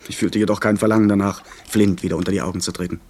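An actor playing a young gentleman who recounts his sea adventure in measured, literary radio drama narration. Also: sits low, little pitch movement, lightly acted. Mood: absorbed, suspenseful. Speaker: narrator and lead character. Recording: 1970s radio play, faint background noise.

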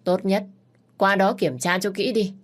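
Woman voicing a sharp-tongued, scheming young lady. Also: mid-range voice, fairly even intonation, a little slow, pitched above the performer's own voice, lightly acted. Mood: insistent. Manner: insistent, sharp protests.

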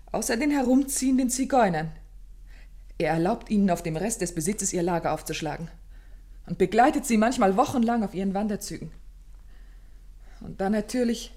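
A woman's voice: mid-range voice, normal speed, long pauses, dark tone, close to an everyday voice. A woman acting as a distressed young lady of good family, with a mid-range voice. Her phrasing is formal and dramatic, with an anxious, pleading edge. It is classic radio-play acting. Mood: fearful.

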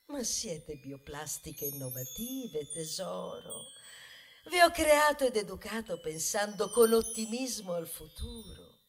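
Woman acting a motherly bioplastic matriarch, soothing, measured, reassuring, radio drama, music behind. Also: medium pitch, sing-song, pitched below the performer's own voice. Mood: tender, reassuring. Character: soothing, optimistic mother of bioplastics, consoling her children. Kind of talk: theatrical radio monologue.

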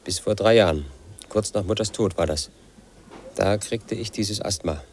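A brooding, resentful house painter in his late thirties, played by a man in a radio drama. His voice sits very low as he broods over old grudges in a bitter monologue. A faint hiss runs under the recording. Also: moderate pace, lightly acted. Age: late 30s. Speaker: protagonist narrating his life.

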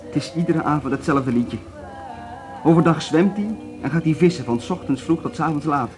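An actor playing a deferential hotel employee who gossips politely about the guests in a Dutch radio drama. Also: male voice, fairly high pitch, a little fast, music in the background, lightly acted. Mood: discreet gossip.

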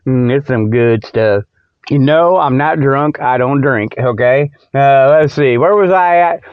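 A man's voice: medium pitch, unhurried, smooth, dry recording, phone-quality sound. An American man hosting a comedy radio show, delivering a folksy, joking, digressive monologue. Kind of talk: rambling comic monologue.

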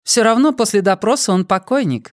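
A man putting on an imperious high-voiced noblewoman. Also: brisk, no room sound, studio quality, lightly acted. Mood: cold, probing. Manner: commanding, sharp-tongued. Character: imperious noblewoman interrogator, sharp and impatient. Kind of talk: audiobook character voice.

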